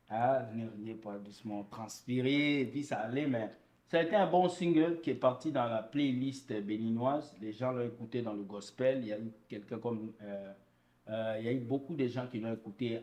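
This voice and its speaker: A young man from Congo, a gospel singer, answering interview questions in an earnest, relaxed storytelling way. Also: mid-range voice.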